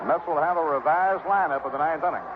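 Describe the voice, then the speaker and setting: A man with an American accent and a fairly high voice calls a baseball game as a radio announcer. His commentary is classic 1960s play-by-play. The sound is narrow and tinny, buried in heavy noise from an old ballpark broadcast. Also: fast. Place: outdoor ballpark broadcast position.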